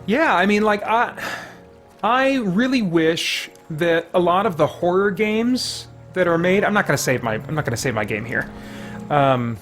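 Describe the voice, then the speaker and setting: Higher-voiced man streaming a game, music behind. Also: expressive, a little slow, a bit bright. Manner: rambling, breaks off mid-thought to react to game and chat. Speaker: video game livestream host. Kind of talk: casual livestream gameplay commentary.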